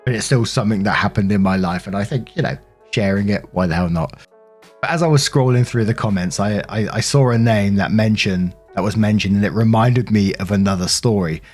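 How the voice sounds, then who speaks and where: A man's voice: fairly low pitch, brisk, darkish tone, faint music behind. A British man narrating online stories aloud in an informal, colloquial way, as a host with casual commentary.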